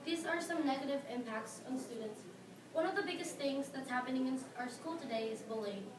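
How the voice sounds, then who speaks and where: A teenage girl from the Philippines with a Filipino accent, giving a prepared speech as a high school student, earnest and halting, with fillers and stressed key words. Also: crowd noise. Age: teens. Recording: echoey room, mic at a distance, noisy.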